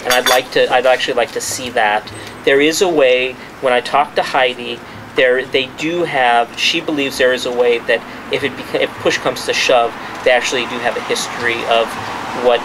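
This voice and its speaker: A man's voice: mid-range voice, fairly even intonation, moderate pace. Emphatic man holding a press conference. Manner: repeats words and restarts, emphatic. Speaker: journalist giving a press conference. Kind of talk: spontaneous press-conference talk. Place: restaurant. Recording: clear background noise.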